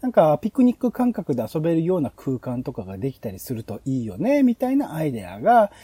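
A man's voice: a little high, wildly swooping pitch, quick pace, dark tone, breathy edge, no echo. A man hosting a podcast planning chat, explaining his ideas as he thinks aloud. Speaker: podcast host presenting program ideas. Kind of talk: casual planning-meeting talk.

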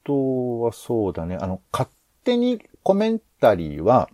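Man thinking aloud, pitch swinging widely. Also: wildly swooping pitch, a little slow, a little mellow, no room sound. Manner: hesitant, rambling, thinks aloud. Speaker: podcast host. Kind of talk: casual planning discussion.

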